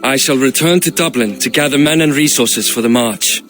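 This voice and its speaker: A man's voice: medium pitch, moderate pace, fairly bright tone, soft background music, lightly acted. An actor playing a proud, rueful Norse king in a video game, plain-spoken and wry. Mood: rueful, urgent. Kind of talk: video game cinematic acting.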